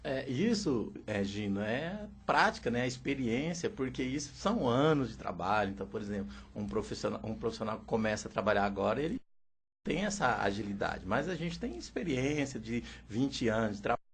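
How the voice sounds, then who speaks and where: A man's voice: mid-range voice, big pitch swings, brisk, a little hiss or hum. A man, a teacher and interpreter, explaining steadily in an interview and often adding "né". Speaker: sign language teacher and interpreter. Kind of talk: radio interview answers.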